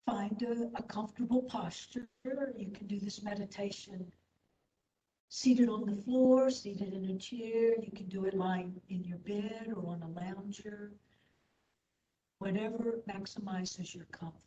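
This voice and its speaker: Slow, mellow woman guiding meditation. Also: mid-range voice, slight background noise. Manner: soothing, unhurried, gentle. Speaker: meditation and yoga instructor. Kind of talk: guided meditation. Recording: echoey room, slightly dull sound.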